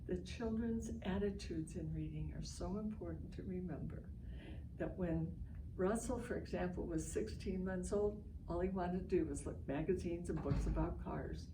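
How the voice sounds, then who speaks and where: A woman's voice: fairly low pitch, normal speed. An older American woman giving an informal, instructive educational talk to families, with emphatic storytelling. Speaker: literacy educator hosting an online session. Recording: echoey, distant mic, noisy online stream.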